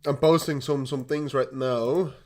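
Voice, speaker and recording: A young man with a Dutch accent chats loosely into a desk mic, laughing now and then and tripping over repeated words. His pitch sits mid-range for a man, and keyboard typing clacks in the background. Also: fairly even intonation, a little slow, a little mellow. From Netherlands. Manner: rambling, laughs often, many repeated starts, casual swearing. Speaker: crypto livestream host. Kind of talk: casual livestream chat while trading.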